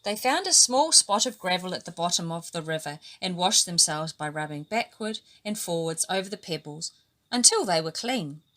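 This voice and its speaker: A woman from New Zealand reading a children's story aloud with animated, lively storytelling. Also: lowish voice, normal speed. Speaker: storybook reader. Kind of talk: expressive children's story narration.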